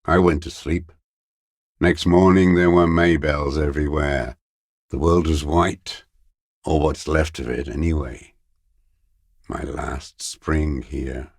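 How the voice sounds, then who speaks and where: Casting: a bitter old sniper in hiding, video game; creaky, gravelly, scornful, very dry close sound. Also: male voice, very deep voice, fairly even intonation, a little mellow, no echo, full character voice. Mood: grim, unrepentant. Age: older. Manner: slow, contemptuous, bitter invective. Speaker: video game character actor. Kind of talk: dramatic video game voice acting.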